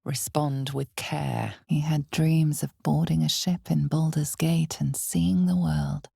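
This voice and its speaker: An ominous female narrator for a dark fantasy game, low and heavily creaky. She tells the tale slowly and knowingly, with weighty pauses and a deliberate, dramatic delivery. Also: steady tone, no echo, studio quality, exaggerated voice. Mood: measured, wry, then grave storytelling. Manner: measured, deliberate, weighty pauses, sometimes dropping to near whisper.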